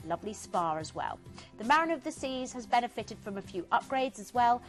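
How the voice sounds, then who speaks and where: A woman's voice: fairly high pitch, big pitch swings, a little fast, soft background music. A woman presenting cruise deals on a TV shopping channel, chatty and enthusiastic, talking through destinations with fillers. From England. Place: TV studio.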